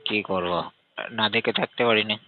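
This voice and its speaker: A man with a gravelly voice chatting casually and intimately, in a complaining, coaxing way, over a tinny phone line. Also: lowish voice, steady tone, normal speed.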